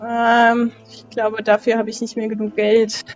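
A woman's voice: a little high, monotone, measured pace, lo-fi sound, soft background music. A woman casually playing her character in a role-playing game.

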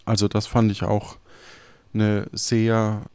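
A man chatting casually and reflectively as a podcast host, feeling his way through his sentences haltingly. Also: on the low side, completely flat delivery, mellow, smooth, no echo. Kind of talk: casual chat.

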